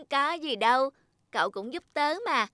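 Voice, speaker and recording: A woman voicing an earnest, childlike little talking household object in a children's cartoon, with an expressive manner. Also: high-pitched, a little slow, dry recording, studio quality, exaggerated voice. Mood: polite, friendly. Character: childlike talking cup and flashlight, eager, easily frightened young friends. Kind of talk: dubbed children's cartoon dialogue.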